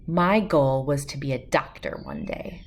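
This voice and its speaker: A woman's voice: extremely low pitch, big pitch swings, unhurried, vocal fry. A woman reading a children's picture book aloud as host, telling it expressively in the first person. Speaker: storybook read-aloud host.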